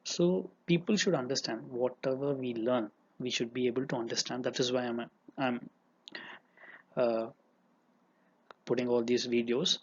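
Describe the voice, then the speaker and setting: A man's voice: mid-range voice, fairly even intonation, measured pace, long pauses, husky. A young man whose first language is Tamil, teaching economics in an earnest lecture with frequent fillers and repeats. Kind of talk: lecture with examples. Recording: dull lo-fi recording.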